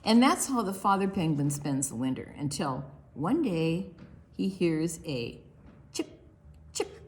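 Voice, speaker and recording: A woman reading a picture book aloud for preschoolers, expressively and deliberately, with quiet asides to the young listeners. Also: deep voice, very animated. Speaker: children's librarian hosting online storytime.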